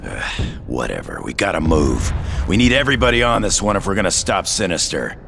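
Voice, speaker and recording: Tough, irritable game superhero: very low gravelly growl, stern, noisy ambience behind. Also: male voice, very animated, a little fast, full character voice. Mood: exasperated. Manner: stern, exasperated. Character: gruff, irritable, tough middle-aged male superhero brawler. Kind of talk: video game character acting.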